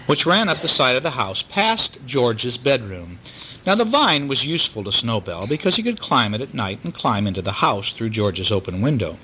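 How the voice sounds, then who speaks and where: A man reading a bedtime story aloud to a young child, expressive and explanatory, with chatty asides. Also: fairly low pitch, noisy background.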